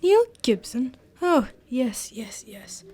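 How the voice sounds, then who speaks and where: An amiable gentleman sidekick in a radio mystery play, acted by a woman, pitched fairly high. The talk is chatty and eager, full of exclamations and interest in the detective's work. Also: very animated, slow, breathy edge, full character voice. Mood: curious, engaged. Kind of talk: amateur radio drama acting.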